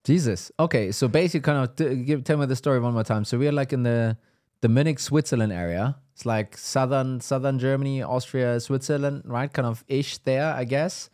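A man co-hosting a podcast, reacting with quick interjections and recapping the guest's story. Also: mid-range voice, darkish tone, no room sound, clean studio sound. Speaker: podcast co-host. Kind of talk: casual interview chat.

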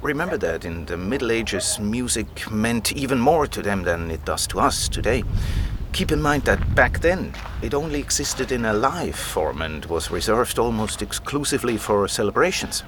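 A man's voice: lowish voice, big pitch swings, moderate pace, background noise. An actor playing a keen, patient male scholar who explains things like a storyteller in an audio drama. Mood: engrossed, explaining. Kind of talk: conversational audio drama dialogue.

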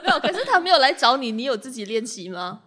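A woman's voice: a little high, very animated, fast, sharp tone. A woman with a Malaysian accent who hosts a podcast, chatting casually in a playful, teasing way. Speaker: podcast host. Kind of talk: casual behind-the-scenes chat.

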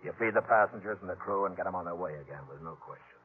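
An actor playing a bossy, menacing gang leader in a 1950s radio western, curt and scornful. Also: male voice, low voice, racing, soft background music, natural voice. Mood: menacing, commanding. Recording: muffled old 1950s radio recording.

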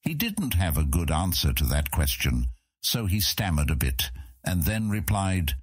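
A man's voice: low-pitched, big pitch swings, measured pace, husky, no room sound, clean studio sound. A man narrating a scripted fantasy audiobook as its storyteller.

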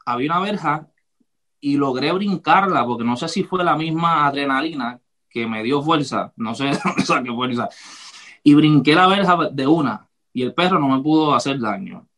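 A man hosting a podcast, telling a childhood story in a relaxed, casual way and laughing easily. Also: on the high side, moderate pace. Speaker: podcast host. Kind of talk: casual conversation.

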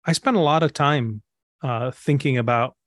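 A man's voice: medium pitch, moderate pace, dry recording. Reflective man in dry audio. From Egypt. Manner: reflective, thinks aloud with fillers and restarts. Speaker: pastor and author guest. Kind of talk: interview answers.

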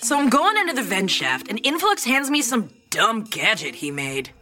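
A brash, trigger-happy robot exterminator in a sci-fi comedy. Her voice is high and lively, full of slang and swagger. Music plays behind her. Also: moderate pace. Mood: cocky. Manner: slangy, cocky, hesitant when explaining. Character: brash, violence-loving, hungover female exterminator robot, dim and cocky. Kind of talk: sci-fi comedy audio drama.

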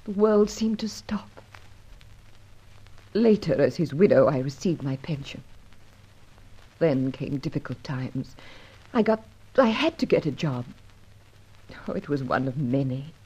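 A husky, troubled young widow. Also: female voice, low-pitched, lively intonation, warm tone, full character voice. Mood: sorrowful. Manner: emotional, halting, defensive. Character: troubled young war widow, proud, guarded, desperate. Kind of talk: old radio melodrama acting. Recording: muffled, lo-fi old radio recording.

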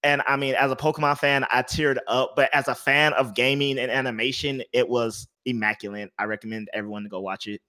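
A man chatting on a podcast, enthusiastic, sometimes dropping into soft asides. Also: medium pitch, fairly even intonation, a little fast, hardly any pauses. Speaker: podcast co-host. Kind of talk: casual chat.

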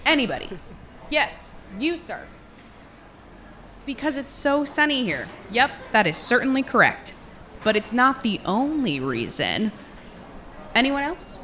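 A brash small-town woman comic hosting open mic night in an audio drama. Her voice is high and bright and swings widely in pitch as she teases the room and throws out rhetorical questions. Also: very animated, a little slow, pauses often, slight background noise, exaggerated voice. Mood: playful, sardonic. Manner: teasing, working the crowd with rhetorical questions. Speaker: stand-up comedian and open mic host. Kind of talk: stand-up comedy in an audio drama.

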